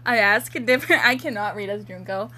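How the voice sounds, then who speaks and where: A woman chatting casually and reading a story aloud in a halting, giggly way. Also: mid-range voice, crowd noise. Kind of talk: casual chat and amateur read-aloud. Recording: faintly noisy home recording.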